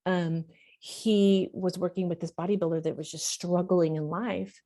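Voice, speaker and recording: A woman counselor speaks in long, earnest runs, stressing and repeating her key points. Her voice sits a little low and sounds mellow and warm. She talks in an easy interview style with the odd filler. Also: fairly even intonation, normal speed. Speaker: professional counselor. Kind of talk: conversational podcast interview.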